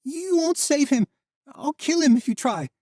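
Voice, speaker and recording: Desperate, hateful teenage boy, shrill and cracking. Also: animated, a little slow, mellow, no echo, clean studio sound. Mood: shrieking. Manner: shrieking, voice cracking.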